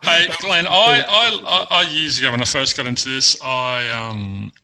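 A man's voice: medium pitch, normal speed, sharp tone. A man hosting an interview, asking questions and murmuring agreement as he listens. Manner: engaged, frequent affirming murmurs. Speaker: interview host. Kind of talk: conversational interview.

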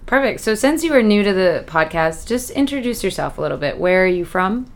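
A woman's voice: medium pitch, very animated, a little fast. A woman hosting a podcast and putting questions to a guest in a casual, conversational style. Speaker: podcast host.

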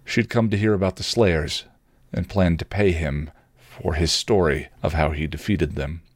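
A man reading fan fiction aloud as expressive story narration. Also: sits low, moderate pace, no echo, studio quality. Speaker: fan fiction reader on a podcast.

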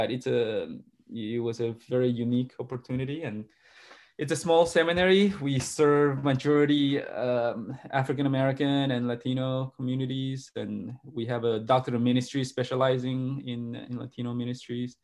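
A man in his forties from Argentina answers interview questions in a middle-pitched voice. He talks haltingly, restarting sentences and repeating small words as he thinks. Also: steady tone, a little mellow. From grew up in Argentina. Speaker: guest, pastor and sociologist.

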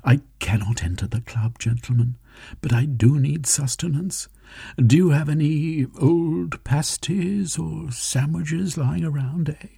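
A man's voice: fairly high pitch, a little slow, vocal fry, mellow, breathy edge, dry recording, clean studio sound, pitched above the performer's own voice, heavily acted. A man voicing a hungry, ragged young man who speaks urgently and with command.

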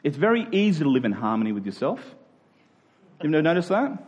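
A man's voice: on the high side, big pitch swings, quick pace, a little mellow. A man preaching a sermon to a congregation in an emphatic style, using rhetorical questions and repetition.

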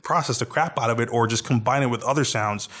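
A man's voice: medium pitch, fast talker, fairly bright tone. A man with an American accent, a guest chatting casually on a podcast and rambling through restarts. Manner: rambling, with many restarts and fillers. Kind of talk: casual chat.

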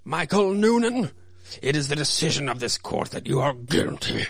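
A man's voice: on the high side, big pitch swings, moderate pace, gravelly, no echo, clean studio sound, pitched above the performer's own voice, full character voice. A man playing a stern, condemning judge with solemn declamation in a radio play.

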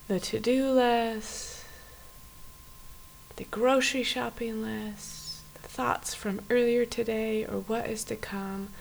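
A woman guiding a body scan meditation in a soft, often near-whispered voice, with gentle, unhurried phrasing and pauses. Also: a little high, little pitch movement, gravelly, low-quality recording. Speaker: meditation guide. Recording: faint background hiss.

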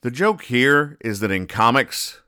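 A man hosting a comedy podcast, reading out comic strips and explaining them in a deadpan, over-literal way. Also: medium pitch, measured pace, smooth. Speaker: podcast host.

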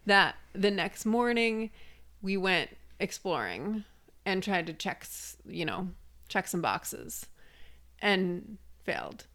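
A woman's voice: mid-range voice, very animated, slow pace, fairly bright tone. A woman co-hosting a podcast, telling personal stories casually in an earnest, emphatic way. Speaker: podcast co-host. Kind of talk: casual conversational storytelling.

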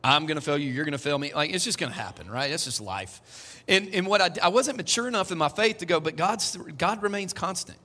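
A bright, fairly high-voiced man. He talks to the congregation like a friend and drops joking asides. His voice carries a long echo from a church hall. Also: lively intonation, brisk. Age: 40s. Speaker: pastor. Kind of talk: sermon.

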